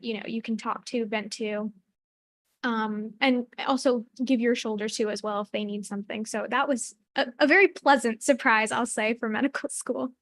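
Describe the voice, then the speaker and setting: A woman's voice: a little high, a bit bright, no room sound. A young woman in her twenties, a medical student answering on a panel in a chatty way, with many fillers and light laughs, over a video call.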